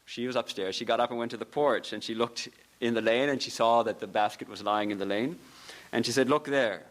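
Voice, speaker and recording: A man, a monk of a religious order, giving a lecture in a temple, telling stories with soft laughs and earnest, repeated phrases. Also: medium pitch, fairly quick. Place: temple hall.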